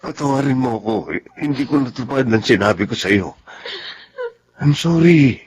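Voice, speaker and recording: A man's voice: medium pitch, lively intonation, normal speed, breathy edge. A man acting in a Filipino radio drama as a remorseful, tender soldier husband and father, with a Filipino accent and apologetic delivery.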